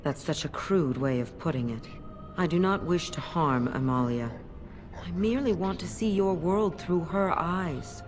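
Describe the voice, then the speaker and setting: An actress voicing a sly, possessive demon in cat form, speaking formally and haughtily in a fantasy video game. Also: female voice, on the low side, unhurried, over music, natural voice. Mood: coaxing, calculating. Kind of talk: dramatic video game acting.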